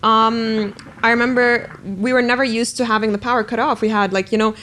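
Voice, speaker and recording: Young woman from Dubai, relaxed. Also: on the high side, brisk. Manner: relaxed, many fillers like "like" and "um". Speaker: podcast guest. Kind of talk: casual conversation.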